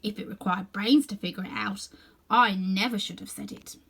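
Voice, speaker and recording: A woman's voice: mid-range voice, moderate pace, a bit bright, breathy edge. A woman voicing a naive male scarecrow, earnestly and simply telling his story as in a children's storybook. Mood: earnest, hopeful.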